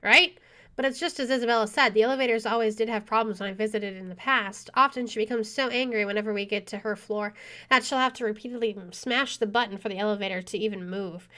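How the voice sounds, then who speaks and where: A woman game streamer with an expressive, excitable delivery, reading aloud and commenting as she plays. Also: on the high side, fast talker. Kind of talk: dramatic reading aloud and let's play commentary. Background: faint game music.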